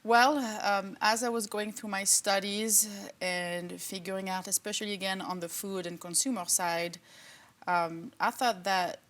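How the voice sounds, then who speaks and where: A middle-aged woman from France, a professor answering interview questions in a relaxed, thoughtful way with many fillers. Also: medium pitch, unhurried. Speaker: agriculture professor and department chair.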